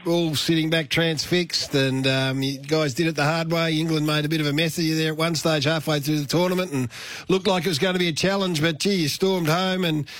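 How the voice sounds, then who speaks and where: Higher-voiced Australian male radio interviewer. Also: fairly even intonation, fairly quick, a bit bright. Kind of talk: casual sports interview.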